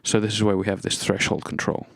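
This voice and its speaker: A man's voice: lowish voice, level tone, fast talker, gravelly. A man presenting an instructional gear demonstration, explaining methodically, step by step. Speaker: tutorial presenter.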